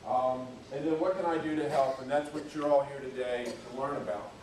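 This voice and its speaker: A man's voice: medium pitch, steady tone, a little fast, darkish tone, a little hiss or hum. A middle-aged man, giving a conference presentation in a conversational, emphatic way. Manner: folksy, conversational, emphatic on key points. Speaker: public works official giving a talk. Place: university lecture room. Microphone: speaking away from the microphone. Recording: very echoey room, voice far from mic.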